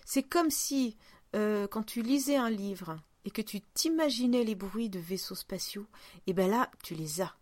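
A woman's voice: medium pitch, lively intonation, a little slow, darkish tone, no echo. Female podcast host, mid-range voice, playful informal chat, closely dry recording. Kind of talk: casual conversational podcast chat.

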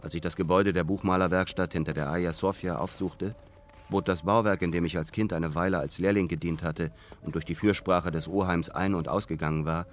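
An actor playing a brooding, lovelorn man who tells his story in a German radio play, measured and earnest. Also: low voice, steady tone, slight background noise, natural voice. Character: brooding, lovelorn returning man, former painter's apprentice. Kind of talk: literary first-person narration in German radio play.

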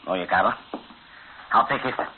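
A man's voice: deep voice, moderate pace, natural voice. An actor in a radio play as a bossy male army colonel, curt and commanding. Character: brisk, bossy army colonel giving orders. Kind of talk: radio drama acting. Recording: tinny, slightly noisy old radio recording.

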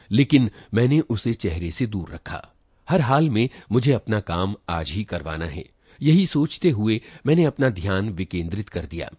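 A man narrating a literary short story in an expressive reading style. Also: lowish voice, husky, no room sound. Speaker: story reader.

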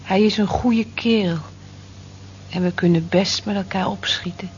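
An actress in a radio play as a lonely, gentle working-class housewife in her forties, speaking in a soft, confiding, reflective way. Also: medium pitch, fairly even intonation, measured pace, faint music behind, natural voice. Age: 40s. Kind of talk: naturalistic radio play acting, intimate monologue. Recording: muffled old radio drama recording.

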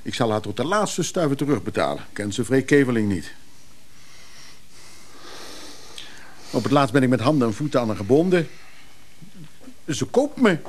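An actor playing a grumbling, reluctant lover in a Dutch radio play, with a blunt, grudging way of talking. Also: male voice, mid-range voice, big pitch swings, a little fast. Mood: indignant. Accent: Netherlands Dutch. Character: grumbling, reluctant male lover, Freek Keverling.

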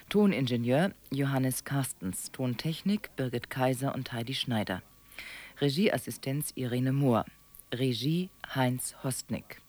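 A man working as a radio announcer, reading formal scripted credits with measured, precise enunciation. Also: mid-range voice, a little mellow.

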